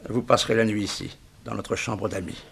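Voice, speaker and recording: An actor playing a weary, kindly old judge in a 1960s French radio drama, measured and formal. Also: male voice, fairly low pitch, steady tone, vocal fry, fairly bright tone, a little hiss or hum. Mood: earnest. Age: older.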